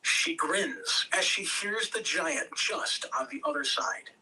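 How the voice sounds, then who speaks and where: A man narrates a screenplay's scene headings and action at an amateur table read. His voice is high for a man and very bright, picked up a little away from the microphone with faint room noise. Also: animated, slow pace, husky, recorded from a distance, low-quality recording. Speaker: stage-direction reader.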